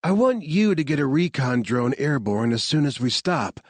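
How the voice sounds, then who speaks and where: A man's voice: mid-range voice, big pitch swings, moderate pace, no echo, voice pushed up. A man voicing a frustrated young male tech specialist, raised above his own narrating voice.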